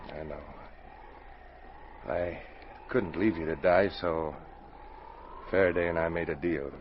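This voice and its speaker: An actor playing a shaken, remorseful outlaw with a halting, earnest delivery in a 1940s radio adventure. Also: male voice, low-pitched, fairly even intonation. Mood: confessional, regretful. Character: shaken, remorseful outlaw, betrayed by his partner. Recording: dull, hissy 1940s radio recording.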